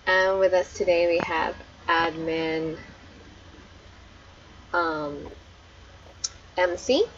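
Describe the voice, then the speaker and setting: A woman's voice: on the low side, flat delivery, extremely slow, lo-fi sound, slight background noise. A woman with an Australian accent hosting a book club live stream in a friendly, welcoming way. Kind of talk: casual live-stream chat.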